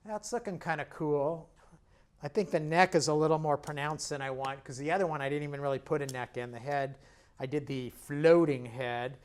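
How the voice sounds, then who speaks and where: A man teaching painting as he works, chatty and self-deprecating, his voice a little raised in pitch. He sounds slightly hoarse now and then. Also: fairly even intonation, normal speed. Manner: chatty, self-deprecating and jokey while working; talks while painting and stepping back from the canvas. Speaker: painting instructor. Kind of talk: casual painting demonstration.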